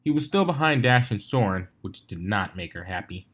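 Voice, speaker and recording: A man's voice: on the low side, expressive, moderate pace, fairly bright tone. A man reading a fan fiction story aloud as narrator.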